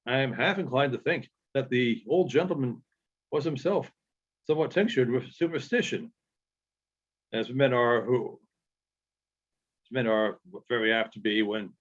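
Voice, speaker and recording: A man reading literary narration aloud as an audiobook reader, in a measured storytelling manner with halting phrasing. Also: medium pitch, muffled recording.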